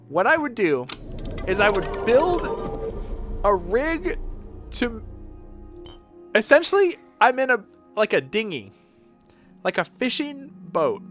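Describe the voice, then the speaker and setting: Man, higher-pitched voice, very lively intonation, rambling casual streamer chat, music behind. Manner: rambling, profane. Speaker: video game streamer. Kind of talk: casual livestream chat over gameplay.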